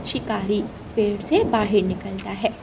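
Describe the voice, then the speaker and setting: Slow-paced woman narrating children's story. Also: medium pitch, darkish tone, slight background noise, low-quality recording.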